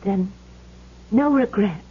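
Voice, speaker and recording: An actress playing an affectionate, practical expectant wife in a radio drama, gently questioning her husband. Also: medium pitch, lively intonation, slow pace, a little hiss or hum. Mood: tender, unsure. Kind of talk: 1970s radio drama acting. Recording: muffled old radio recording.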